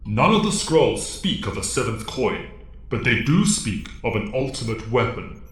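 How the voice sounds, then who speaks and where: An actor playing a stern, fatherly alien mentor in an audio drama, speaking formally and with measured authority. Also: mid-range voice, very animated, echoey room, close to an everyday voice. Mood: grave, instructive.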